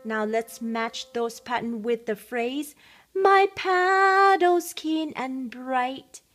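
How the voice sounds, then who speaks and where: Slow, high-voiced woman music teacher. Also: animated, a bit bright. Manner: sings solfege and song phrases between spoken instructions; slow, patient instructions. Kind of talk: keyboard and singing lesson. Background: keyboard playing.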